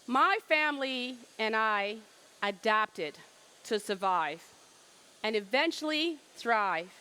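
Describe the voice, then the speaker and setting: A woman's voice: on the high side, sharp tone. A middle-aged woman from Cambodia giving an emphatic keynote presentation at a trade fair and asking rhetorical questions.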